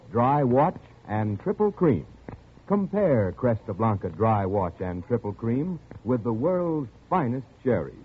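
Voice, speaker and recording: A man's voice: mid-range voice, slightly rough. A man reading a 1940s radio commercial as announcer, with polished, emphatic salesmanship. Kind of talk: 1940s radio advertising read. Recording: muffled, tinny old radio broadcast.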